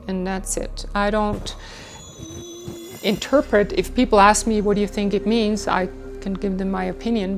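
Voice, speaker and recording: A woman from Switzerland, a medium calmly and steadily explaining her work in a documentary interview. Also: mid-range voice. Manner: calm, explanatory, measured. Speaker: automatic writing medium.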